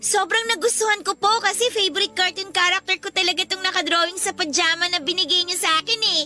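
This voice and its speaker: A woman voicing a sweet, excited, polite little daughter with a Filipino accent in a radio drama. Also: very high-pitched, fast, music in the background. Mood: grateful, excited. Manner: childlike, polite, eager.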